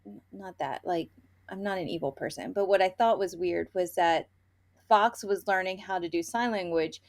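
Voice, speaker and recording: A woman's voice: lowish voice, moderate pace, vocal fry. A woman co-hosting a casual book review podcast, talking candidly and animatedly as she retells her own reactions. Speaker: podcast co-host.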